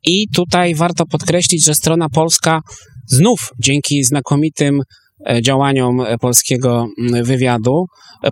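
A man speaking as a historian guest, explaining events unscripted and very haltingly, with frequent long hesitations. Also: mid-range voice, no room sound.

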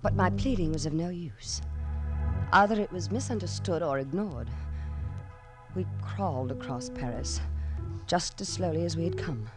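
A woman's voice: lowish voice, expressive, moderate pace, long pauses, close to an everyday voice. An actress playing an anxious young woman traveller in a 1940s radio drama, dramatic and urgent. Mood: dread. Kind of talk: 1940s radio drama, first-person narration and dialogue. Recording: old 1940s radio broadcast with music.

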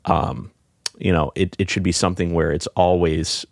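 A middle-aged man with a deep voice, speaking in a reflective, halting way with many fillers and restarts, as a guest in a casual heartfelt conversation. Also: level tone, gravelly, no room sound, studio quality. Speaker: podcast guest.

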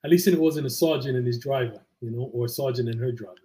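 A man's voice: mid-range voice, fast, crowd noise. A man co-hosting a casual live chat show, tossing in colloquial interjections and reading out viewer comments.

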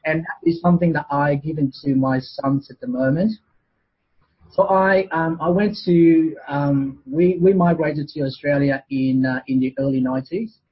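A man's voice: a little high, steady tone, normal speed, husky, dull audio. A man in his forties from Vietnam with a Vietnamese accent, talking as an interview guest in a casual, hesitant way with many fillers and restarts. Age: 40s.

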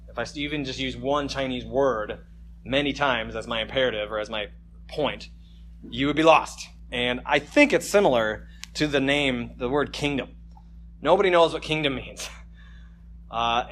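Male pastor, mid-pitched, chatty sermon delivery with punchy scripture reads, faint hum behind. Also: a bit bright. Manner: casual and joking, with emphatic scripture reading. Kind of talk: conversational sermon. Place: church service with slides.

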